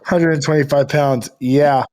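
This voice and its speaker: A man's voice: a little high, steady tone, measured pace, a little mellow. A man co-hosting a podcast in casual, joking conversation. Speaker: podcast co-host. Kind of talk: casual chat with comedic banter.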